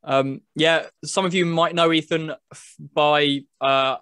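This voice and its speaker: A man's voice: a little high, steady tone, slow, pushed and bright, clean tone, dry recording, studio quality. A man hosting a casual video chat about games, talking as a podcast presenter. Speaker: podcast host presenting a game review video.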